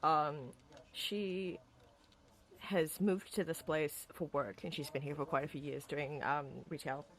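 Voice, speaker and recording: A tired, hoarse streamer who chats casually with viewers while replaying a horror visual novel, rambling with lots of ums and restarts. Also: mid-range voice, fairly even intonation, unhurried. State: hoarse, sleepy. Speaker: streamer host.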